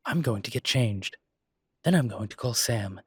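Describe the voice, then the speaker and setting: A man playing a suave ancient demon professor in an intimate roleplay audio drama, with a husky, breathy low voice and a dry, teasing, urbane manner. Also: expressive, moderate pace, no room sound. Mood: bantering.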